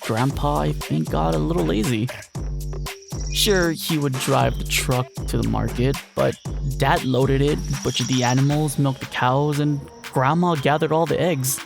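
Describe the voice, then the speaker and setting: A man playing a grieving country man who fondly and reflectively remembers his late grandfather, with dramatic pauses, in an audio drama. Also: mid-range voice, steady tone, creaky. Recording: loud music behind the voice. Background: music.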